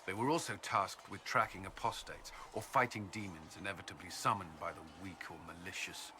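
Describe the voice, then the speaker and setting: Man acting a low-voiced, earnest fantasy commander. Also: natural voice. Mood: measured, earnest. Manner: hesitant, halting when flustered. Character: earnest, dutiful male military commander and former Templar. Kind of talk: fantasy video game dialogue.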